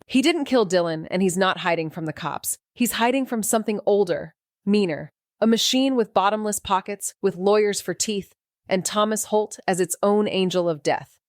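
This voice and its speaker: A woman's voice: mid-range voice, moderate pace, dry recording, clean studio sound, close to an everyday voice. An actress playing a guilt-ridden, dogged woman investigator, narrating a noir audio drama in a wry, hard-boiled way. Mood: guilty, grim. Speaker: narrating lead character.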